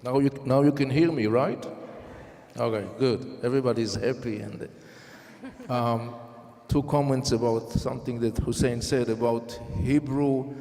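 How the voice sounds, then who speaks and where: A man moderating a talk event, brisk and light-hearted as he comments between speakers. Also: medium pitch, steady tone, mellow. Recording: reverberant hall.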